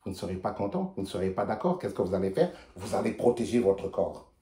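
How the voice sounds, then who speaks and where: A man's voice: lowish voice, very animated, warm tone, roomy sound. A man speaking earnestly to his followers from his office, hesitant at first and then building to emphatic repeated refrains. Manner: earnest, halting, many hesitations and repetitions; rises to emphatic rhetorical refrains. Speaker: political commentator addressing followers. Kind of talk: spontaneous video message. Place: office during a break.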